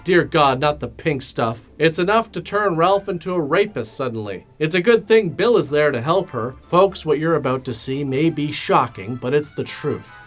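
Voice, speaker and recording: A man with a slightly high voice narrates a comedic movie recap over music. His delivery is sarcastic and mock-dramatic, with casual crudeness thrown in. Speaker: host of a film commentary show.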